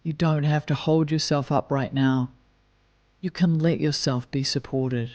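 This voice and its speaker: A man leading a guided meditation in a slow, soft, soothing manner, gently counting breaths. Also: a little high, steady tone, no echo, muffled recording.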